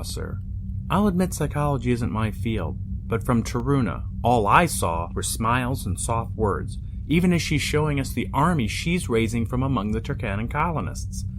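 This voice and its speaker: An actor playing a wary, sceptical starship officer in a science fiction audio drama. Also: male voice, medium pitch, natural voice. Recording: clear background ambience noise.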